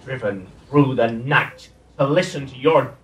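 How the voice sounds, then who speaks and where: A man's voice: mid-range voice, measured pace, natural voice. A man playing an aggressive area manager who berates a subordinate in a radio play, heard in a roomy space from a distance. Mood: furious. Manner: shouting, aggressive. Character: furious, domineering male area manager. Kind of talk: amateur radio play acting.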